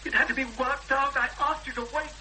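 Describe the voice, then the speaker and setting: An actor playing a beaten, bitter, self-pitying recluse in a 1970s British radio drama, halting and plaintive in his protests. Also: extremely high pitch, fairly even intonation, sharp tone, exaggerated voice. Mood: protesting, distraught. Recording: faintly noisy, humming old radio broadcast.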